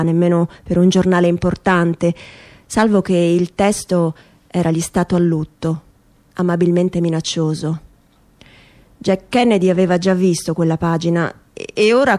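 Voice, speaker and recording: A woman giving a dramatic literary reading in measured, emphatic phrases, in the style of radio theatre. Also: medium pitch, a little mellow. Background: music.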